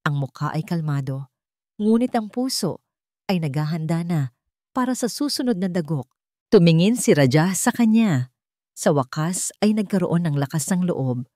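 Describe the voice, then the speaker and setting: Storyteller: woman, low voice, dry close sound, faint music underneath, measured dramatic delivery. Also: dark tone, no room sound. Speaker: narrator. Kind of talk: scripted story narration.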